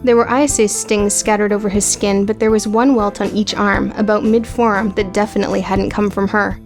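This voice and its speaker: A woman's voice: mid-range voice, flat delivery, normal speed, soft background music. A woman reading scripted, dramatic audiobook narration.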